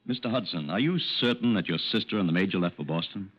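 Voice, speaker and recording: An actor playing a methodical, businesslike federal agent, formal and politely probing, in 1940s radio style. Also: male voice, low voice, lively intonation, quick pace, faint music behind. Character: businesslike, methodical male FBI special agent. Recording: muffled old-time radio recording.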